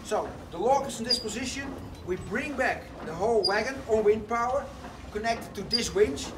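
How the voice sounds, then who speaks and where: A man, telling a tour group a lively story inside a large wooden sawmill. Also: extremely high pitch, normal speed. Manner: lively storytelling, slightly broken English phrasing. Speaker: windmill guide. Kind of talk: guided tour talk. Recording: distant voice with noisy background.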